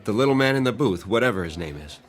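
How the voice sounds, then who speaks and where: A man's voice: fairly low pitch, animated, fast, faint music behind, close to an everyday voice. An actor playing a skeptical, stubborn, wisecracking man in a radio drama, with a casual, blunt way of talking. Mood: agitated suspicion.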